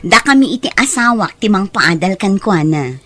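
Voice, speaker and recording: A woman's voice: mid-range voice, expressive, normal speed, a bit bright, dry recording. A woman playing a well-off, generous and assertive aunt in an audio drama, speaking persuasively with an Ilocano accent. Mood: concerned, inviting.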